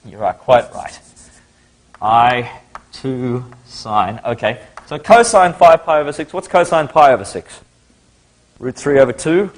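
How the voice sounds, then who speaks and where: A man's voice: medium pitch, measured pace. Middle-aged Australian male lecturer, conversational, thinks aloud at the board. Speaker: maths lecturer. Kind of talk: live classroom lecture.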